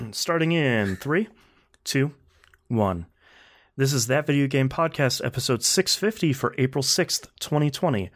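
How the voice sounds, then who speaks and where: Man, podcast host, medium pitch, casual chat. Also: expressive, measured pace, fairly bright tone.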